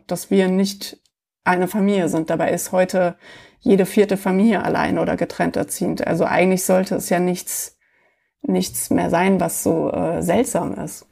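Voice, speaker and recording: A woman telling her own story as an interview guest, reflective and hesitant, with many pauses and fillers. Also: fairly low pitch, gravelly, a little mellow.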